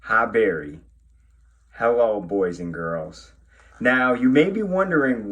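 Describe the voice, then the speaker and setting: A man, a police officer, talking and reading to children in an expressive way, addressing them directly. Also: on the low side, breathy edge. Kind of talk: children's read-aloud and talk to pupils.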